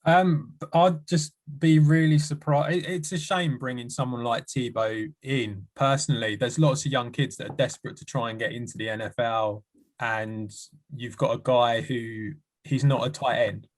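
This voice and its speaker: Measured, mid-pitched man on a podcast. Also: fairly even intonation. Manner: measured, slightly halting, with restarts. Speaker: podcast co-host. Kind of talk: casual podcast chat.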